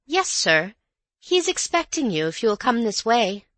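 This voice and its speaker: A woman's voice: sing-song, unhurried, dry recording. A woman voicing a young housemaid who speaks primly and politely in a raised, respectful voice. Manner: prim, polite, high and bright. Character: young prim respectful housemaid, eager to please.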